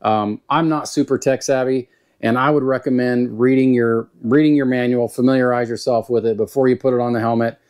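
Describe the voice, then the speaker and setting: A man with a Southern US accent giving a casual, plain-spoken product review in an unhurried, folksy way. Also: mid-range voice, steady tone, a little mellow. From Southern United States. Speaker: YouTube gear reviewer.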